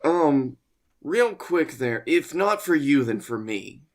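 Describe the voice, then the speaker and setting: A man's voice: on the high side, sing-song, slow pace. A man co-hosting a comedy podcast, riffing casually in a wry, jokey way.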